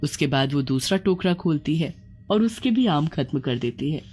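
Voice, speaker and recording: A woman's voice: low voice, lively intonation, moderate pace, a little mellow, slightly rough, soft background music. A woman narrator reading a scripted moral horror tale in a dramatic storytelling style.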